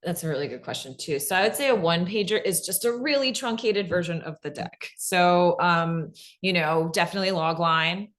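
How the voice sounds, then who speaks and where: Woman w a low voice, casual panel talk, explains stuff informally, lots of likes and rights. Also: normal speed, few pauses, fairly bright tone. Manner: informal, frequent "like" and "right?" tags. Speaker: panelist presenting on fiction podcast pitching. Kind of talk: casual unscripted advice talk.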